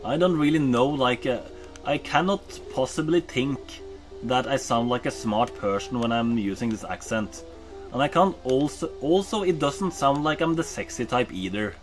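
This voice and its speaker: A man's voice: medium pitch, lively intonation, moderate pace, faint music behind. A Norwegian man chatting casually while streaming a game, rambling with many ums and uhs and correcting himself. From Norway. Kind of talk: casual chat while gaming.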